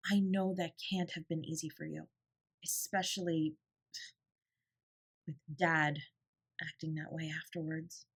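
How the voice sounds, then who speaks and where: A woman playing a protective, tender older sibling in an intimate audio roleplay, with a soft, breathy, reassuring delivery. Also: low-pitched, little pitch movement, a little slow, long pauses, exaggerated voice. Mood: earnest, reassuring. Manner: gentle, reassuring, lightly teasing.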